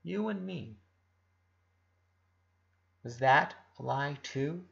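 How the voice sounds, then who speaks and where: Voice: mid-range voice, expressive, drawn-out words, gravelly, a bit bright, muffled recording, heavily acted. A narrator voicing an upset, confrontational preteen girl with emotional, pleading outbursts in an audiobook-style story. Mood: hurt, accusing.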